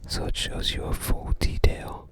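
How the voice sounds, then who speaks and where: An ASMR host speaking entirely in a breathy whisper, gently musing aloud in unscripted commentary with trailing phrases. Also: unhurried. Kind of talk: ASMR, unscripted commentary while browsing a game guide book.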